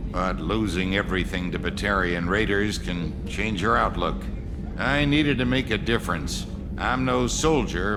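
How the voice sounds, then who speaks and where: Video game role: man to play gruff, plain-talking handyman cook aboard a starship, proud and slangy, over steady ship noise. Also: mid-range voice, natural voice. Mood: earnest. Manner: folksy, plain-spoken, slangy. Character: gruff, folksy spaceship cook, proud and crude. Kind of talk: video game character dialogue.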